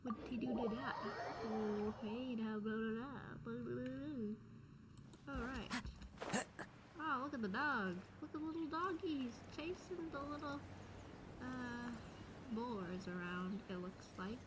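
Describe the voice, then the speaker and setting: High-voiced woman muttering gameplay reactions. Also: takes it slowly. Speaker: gameplay streamer. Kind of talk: casual gameplay reaction commentary. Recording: very noisy mic over game audio.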